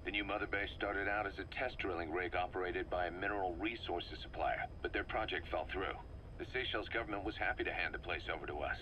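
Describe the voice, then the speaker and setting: Man acting a deep, hard-bitten mercenary commander. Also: quick pace, noisy background, close to an everyday voice. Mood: assured, businesslike. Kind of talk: dramatic video game acting, expository briefing.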